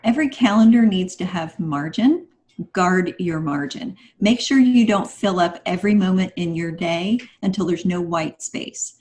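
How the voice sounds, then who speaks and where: A woman's voice: lowish voice, lively intonation, moderate pace, a little mellow. A woman guest on a podcast, giving conversational advice in an instructive, encouraging manner.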